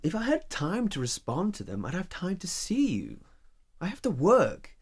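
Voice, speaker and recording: An actor playing a career-driven, evasive lover in a naturalistic audio drama, speaking in measured, emphatic phrases. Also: male voice, sits high, huge pitch swings, breathy edge, full character voice. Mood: defensive, insistent.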